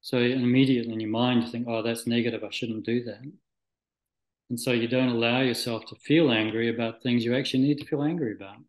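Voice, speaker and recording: Male interview guest, from Scotland and New Zealand, medium pitch, thoughtful and halting, recorded in an echoey room. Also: steady tone. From Scotland, moved to New Zealand at nine. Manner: halting, thoughtful, searching for words. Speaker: interview guest, spiritual practitioner. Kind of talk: reflective, conversational interview answers.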